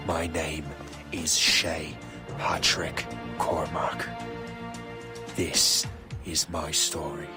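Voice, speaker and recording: A cocky young Irish rogue over music. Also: male voice, a bass voice, little pitch movement, very slow, long pauses, heavily acted. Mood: solemn. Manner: cheeky, boastful banter. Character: cocky, wisecracking young Irish assassin and sailor. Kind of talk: video game cutscene acting.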